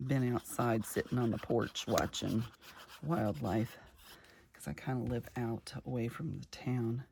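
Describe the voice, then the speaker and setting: A middle-aged woman chatting casually while crafting, her voice a little gravelly from just waking up, rambling warmly from one story to the next. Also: a bass voice. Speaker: craft vlogger.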